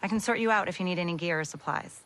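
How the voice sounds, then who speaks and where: A woman's voice: fairly low pitch, rapid-fire, fairly bright tone, lightly acted. An actress playing a blunt, protective woman who leads a community, in a video game cutscene. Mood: firm, wary. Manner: blunt, firm.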